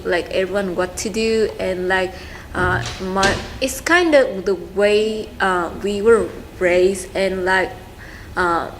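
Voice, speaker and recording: A young woman with a Vietnamese accent answers informally in a mid-pitched voice. She is halting and often searches for her words, with noticeable noise in the recording. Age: young adult. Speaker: student interviewee. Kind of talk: casual, informal talk about family. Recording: clearly noisy room recording.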